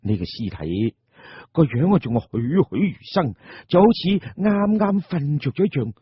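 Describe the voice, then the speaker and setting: Very husky, animated male radio drama storyteller. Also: a little high, normal speed, no echo, dull audio. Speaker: storyteller, narrator.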